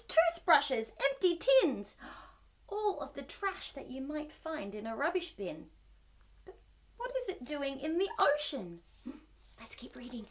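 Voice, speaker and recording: Very animated high-voiced woman hosting storytime. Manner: animated, exaggerated wonder, gasps, asks listeners questions. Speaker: children's storytime host. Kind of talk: children's read-aloud and singalong.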